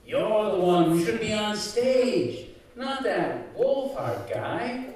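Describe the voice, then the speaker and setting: A man's voice: high-pitched, very animated, a little mellow, heavily acted. A man voicing a sinister talking prop, a brash, wisecracking manipulator in old time radio comedy style. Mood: scornful. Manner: brash, wisecracking, drawn-out emphasis. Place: community center stage, live show. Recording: echoey hall, distant stage mic.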